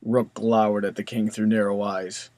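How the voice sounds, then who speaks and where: A man's voice: on the low side, little pitch movement, normal speed, a little mellow, dry recording. A man reading scripted story narration as the narrator.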